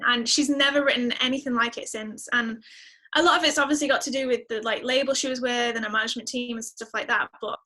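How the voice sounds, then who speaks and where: A woman's voice: fairly high pitch, pushed and bright. A woman with a Northern English accent chatting casually and informally as an interview guest. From northern England. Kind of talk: casual chat.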